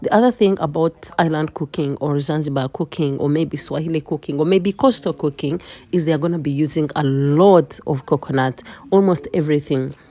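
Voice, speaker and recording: Low-voiced woman, chatty. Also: fairly quick, dark tone. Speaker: vlogger and home cook. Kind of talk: casual vlog narration.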